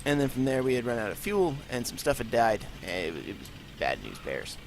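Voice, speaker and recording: Chatty let's play guy, stuffed-up voice, rambles and jokes at himself, mid pitched, faint hiss behind. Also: very animated, normal speed, creaky. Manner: rambling, chatty, self-mocking asides. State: congested from allergies. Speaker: gaming channel host. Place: room with no acoustic paneling.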